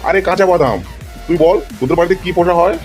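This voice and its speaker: A man's voice: high-pitched, fast talker, a little mellow, soft background music, close to an everyday voice. A man voicing a bossy, patronizing schoolteacher in an amateur animal cartoon comedy. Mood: approving.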